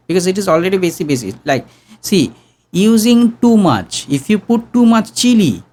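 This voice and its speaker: A young man teaching sound engineering in an online workshop, talking casually and humming low tones to demonstrate, close to a studio mic in a small room. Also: darkish tone. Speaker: audio engineering instructor. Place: small room with green backdrop. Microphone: studio condenser mic on boom arm.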